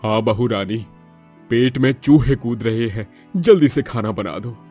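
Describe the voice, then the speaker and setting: A man's voice: mid-range voice, measured pace, dark tone, slightly rough, faint music behind. Man playing a firm older father-in-law. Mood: jovial. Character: authoritative older father-in-law of a wealthy household.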